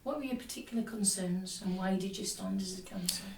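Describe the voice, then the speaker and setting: A woman interviewing a guest, asking polite, measured questions. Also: mid-range voice, creaky. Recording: distant echoey room with faint hiss.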